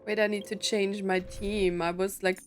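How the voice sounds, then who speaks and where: A woman's voice: mid-range voice, little pitch movement, normal speed, soft background music. A woman giving casual, chatty commentary as a gaming streamer, reacting in short interjections.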